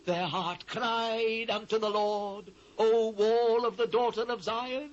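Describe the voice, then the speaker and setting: A British actor playing an anguished biblical prophet, giving a solemn, declamatory, theatrical scripture reading on an old cassette transfer. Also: male voice, sits high, steady tone, lo-fi sound. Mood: impassioned grief. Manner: solemn, declamatory, measured phrasing. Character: anguished biblical prophet lamenting a fallen city.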